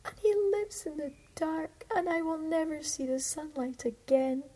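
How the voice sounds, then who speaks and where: A woman's voice: high voice, unhurried, voice pushed up, poor audio. Thumbelina, woman voicing a tiny sweet girl. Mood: sad. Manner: tearful, soft.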